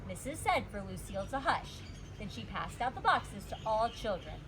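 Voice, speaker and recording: A woman reading a children's storybook aloud as a teacher, with expressive, deliberate storytelling. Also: medium pitch, background noise.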